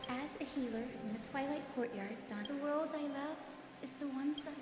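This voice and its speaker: Actress as high sweet healer girl, earnest and selfless, fantasy game drama, echoey w music. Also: normal speed, lightly acted. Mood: resolute, tender. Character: gentle, earnest young woman healer, selfless and soft-hearted. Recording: echoey reverb under background music.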